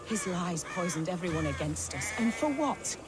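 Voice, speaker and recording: A woman's voice: on the low side, expressive, normal speed, natural voice. A woman playing a proud, grieving widowed mother in a video game. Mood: bitter. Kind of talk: video game dramatic acting. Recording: loud music over the voice.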